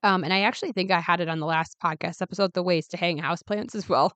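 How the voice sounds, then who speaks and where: A woman in her late twenties talks casually into a close mic, her voice a little raspy and worn. She chats upbeat with plenty of ums and asides. The sound is dry, with no room around her. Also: fairly low pitch, steady tone, fast, a bit bright, dry recording. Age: 20s. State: raspy, recovering voice. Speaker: podcast host. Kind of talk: casual solo podcast chat.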